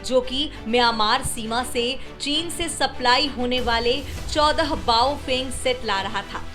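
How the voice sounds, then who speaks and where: Female report narrator, high-pitched, scripted news read over a clearly audible music bed. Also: slow, sharp tone. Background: background music.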